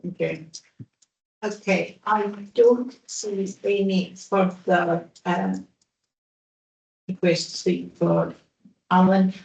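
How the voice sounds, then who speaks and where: Woman, committee chair, procedural, slow, animated pitch, distant mic, echoey room. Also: dull audio.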